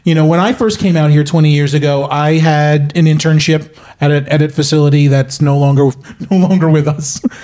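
A man's voice: on the high side, fairly even intonation, fairly quick, hardly any pauses, a little mellow. A man in his forties hosting an interview podcast, asking questions and encouraging with short acknowledgements. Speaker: podcast host. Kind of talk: interviewing, friendly back-channel.

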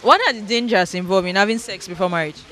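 A woman's voice: mid-range voice, quick pace, pushed and bright. A young woman interviewing people on the street, asking direct, probing questions. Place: outdoors on a street.

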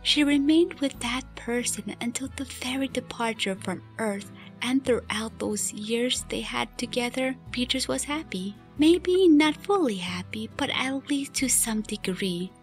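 A woman's voice: fairly high pitch, a little slow, soft background music. A woman reading scripted story narration as the narrator of a fan radio play. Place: recorded voice-over with music behind.

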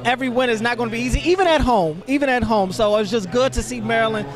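A man's voice: extremely high pitch, quick pace. A young man giving casual sports analysis on a handheld mic at an arena. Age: young adult. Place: on the court of a large indoor arena. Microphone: handheld microphone. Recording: crowd noise of a basketball arena.

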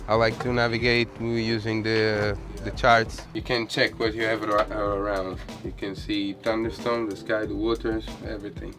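A man in his thirties with an Italian accent, a sailboat owner showing his boat in a casual tour, talking haltingly and searching for English words. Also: fairly low pitch, flat delivery. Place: outdoors on a sailboat deck and cabin. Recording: background music.

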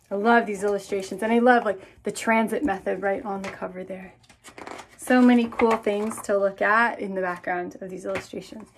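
A woman in her thirties, a children's author, reading picture books aloud expressively and speaking directly to young listeners. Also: on the high side. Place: small indoor room with bookshelves.

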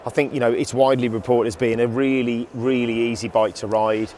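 A man's voice: mid-range voice, little pitch movement, fairly quick, darkish tone, slight background noise. A man in his thirties, presenting casually and enthusiastically with many restarts, standing in an exhibition hall. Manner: chatty, enthusiastic, frequent restarts. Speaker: motorcycle show presenter. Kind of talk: casual review chat. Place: indoor motorcycle exhibition hall.

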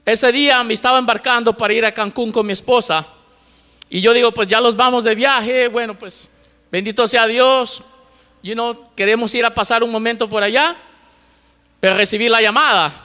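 High-voiced man preaching in a big hall. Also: steady tone, normal speed, bright, forceful tone, clear, resonant tone. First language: English. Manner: fervent preaching with repetition, mixing in English words. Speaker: pastor. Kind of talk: sermon. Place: large church stage with lights. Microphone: handheld microphone. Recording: long hall reverb from church auditorium.

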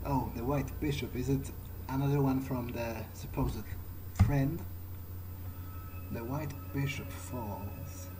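A man's voice: mid-range voice, fairly even intonation, takes it slowly, background noise. A man hosting a gaming video, improvising in character and thinking aloud in hesitant, musing fragments. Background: game music.

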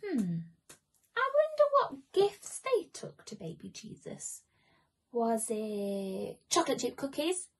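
A young woman performs as a children's storyteller. Her voice is high and very animated, yet unhurried, with a soft husky edge and theatrical asides to the listener. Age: young adult. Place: small room at home.

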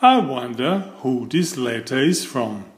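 A man voicing a cheerful, polite, hapless cartoon creature from a picture book, given to high exclamations. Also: expressive, slow pace, echoey room. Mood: cheery, startled.

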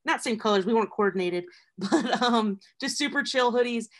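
A man with a high voice hosting a casual interview, chatty and eager with questions. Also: normal speed, a bit bright. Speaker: host.

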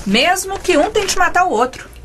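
High-voiced, bossy Brazilian mother. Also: animated, moderate pace, a bit bright, slight background noise. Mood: firm. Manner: emphatic, nagging, sarcastic. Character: bossy, exasperated young mother hosting a dinner, Alzira. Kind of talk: comedic radio soap acting.

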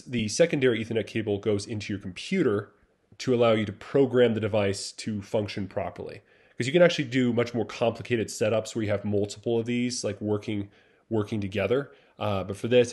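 Male tutorial presenter, lowish voice, easygoing and hesitant delivery. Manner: relaxed, hesitant, many fillers and restarts. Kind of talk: casual screen-recorded tech tutorial. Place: voice-over over screen recording.

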